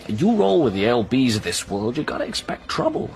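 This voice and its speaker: Animated, streetwise fat New York hustler. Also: male voice, mid-range voice, fairly quick, creaky. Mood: knowing, confiding. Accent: American. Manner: wisecracking, slangy, knowing. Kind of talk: radio drama dialogue.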